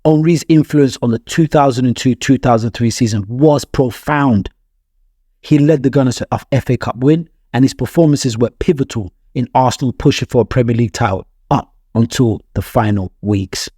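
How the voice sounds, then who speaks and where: A man's voice: medium pitch, normal speed, dark tone, breathy edge, no room sound, studio quality. A man delivering scripted sports analysis as a video narrator, with emphatic phrasing and rhetorical questions to the viewer. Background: music.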